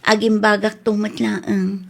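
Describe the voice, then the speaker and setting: A woman's voice: medium pitch, flat delivery, a little slow, vocal fry. An actress playing a hard-working, slightly peevish young woman with an Ilocano accent in a radio comedy drama. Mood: weary. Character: hard-working young daughter, touchy about her things.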